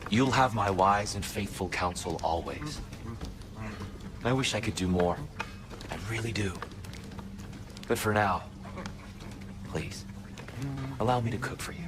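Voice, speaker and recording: A man playing a gentle, humble, weary god in a video game, a former prisoner who speaks with courteous, apologetic care. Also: fairly low pitch, measured pace, pauses often, music in the background, lightly acted. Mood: regretful. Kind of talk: video game character acting.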